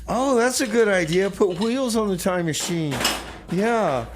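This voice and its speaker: A man's voice: high voice, animated, unhurried, background noise. A man playing a casual, needling community radio DJ who rambles and repeats himself in comedy banter. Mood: teasing. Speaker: radio music show host and DJ. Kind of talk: comedy radio theater banter between songs.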